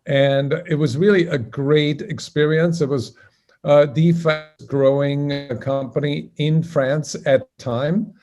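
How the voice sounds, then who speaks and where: A man's voice: fairly high pitch, slow. Older Austrian man telling his story. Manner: relaxed, conversational, frequent uh fillers. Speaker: guest, business founder. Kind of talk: storytelling interview answers.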